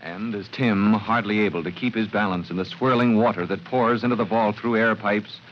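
A man's voice: lowish voice, steady tone, moderate pace. A man narrating a scripted radio adventure in a dramatic, formal 1940s announcer style. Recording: muffled, faintly noisy 1940s radio recording.

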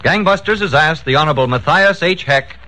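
A man's voice: a little high, lively intonation, a little fast. A man announcing a radio program in a formal, emphatic 1940s scripted style. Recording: faintly noisy old radio recording.

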